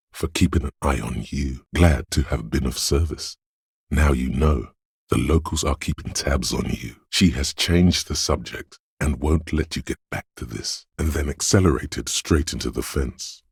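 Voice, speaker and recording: A man voicing a detective's coolly observant inner voice of visual reasoning in a video game, narrating in a measured, clinical, matter-of-fact way with a deep, gravelly tone. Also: darkish tone, no echo, clean studio sound, exaggerated voice. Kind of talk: scripted second-person game narration.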